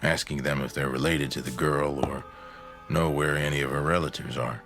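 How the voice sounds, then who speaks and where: A steady middle-aged husband in a radio drama, gently firm and practical. His voice is extremely low and he speaks in a measured, matter-of-fact way, over faint music.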